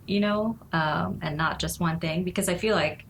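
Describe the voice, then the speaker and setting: Interview guest: woman in her 40s from Nepal, gravelly and reflective, with faint noise in the recording. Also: on the low side, normal speed. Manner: thoughtful, laughs often, fillers like "you know". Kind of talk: casual interview conversation.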